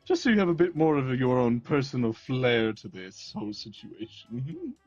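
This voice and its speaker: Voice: medium pitch, sing-song, moderate pace, a little mellow, no echo, highs cut off, soft background music, lightly acted. A performer voicing a theatrical villain barber who cackles often and speaks in pompous, old-fashioned phrases. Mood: gleeful menacing. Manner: archaic pompous speech, frequent cackles.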